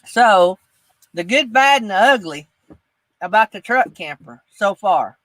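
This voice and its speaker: A man's voice: high-pitched, lively intonation, unhurried, fairly bright tone, no echo, rough recording. A man with a Southern US accent, chatting casually as host of a livestream. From northeast Alabama, United States. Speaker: host of a livestream chat show. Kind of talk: casual chat.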